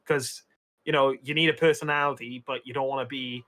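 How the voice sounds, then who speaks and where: A man's voice: medium pitch, fairly even intonation, fairly quick, sharp tone. A young Welsh man, a streamer, chatting casually on a podcast with lots of fillers and restarts. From Wales. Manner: rambling, many fillers and restarts.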